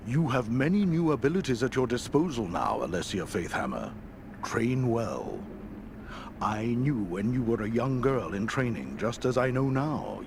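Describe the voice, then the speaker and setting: A man playing a solemn, fatherly church superior in a video game, speaking in a formal, measured, grave manner. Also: medium pitch. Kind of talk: video game quest dialogue. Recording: noisy game capture.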